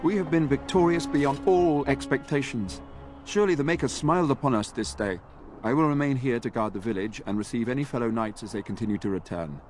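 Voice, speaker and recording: An actor playing a devout knight on guard, speaking formally and solemnly. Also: male voice, mid-range voice, fairly quick, darkish tone, faint music behind, close to an everyday voice.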